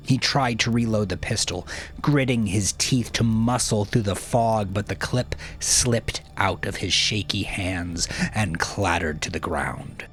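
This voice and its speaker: A man reading scripted fiction in a dramatic, measured way, dropping into hushed, breathy phrase endings. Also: lowish voice. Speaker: audiobook narrator.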